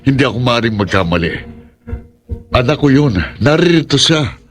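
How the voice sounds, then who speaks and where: A man's voice: medium pitch, animated, moderate pace, soft background music, lightly acted. A man acting as a bitter, grieving father in an audio horror drama, on a noisy recording. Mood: distraught. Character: grieving, bitter father haunted by his daughter's death.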